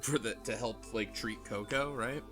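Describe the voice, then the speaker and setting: A man with a mid-range voice hosting a relaxed recap podcast. He rambles and restarts his sentences. Faint music sits behind him. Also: medium pitch, normal speed. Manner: rambling, lots of filler words and restarts. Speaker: podcast host. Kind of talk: casual chat recapping a visual novel.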